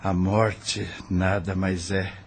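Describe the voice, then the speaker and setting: A man's voice: low voice, little pitch movement, takes it slowly, slightly rough, heavily acted. A man playing a wounded, defiant prisoner in an audio drama, bitter yet steadfast in his faith. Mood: defiant, bitter irony.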